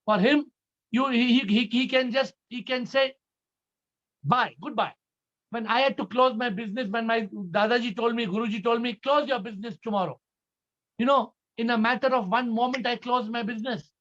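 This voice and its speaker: Male guru, Indian accent, high-pitched voice, didactic question-and-answer delivery, insistent repetition, dull low-fidelity call sound. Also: fairly even intonation, a little fast, a bit bright. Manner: emphatic, didactic, repeats phrases and asks rhetorical questions. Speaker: spiritual teacher answering questions. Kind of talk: spiritual question-and-answer session. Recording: dull lo-fi online call audio.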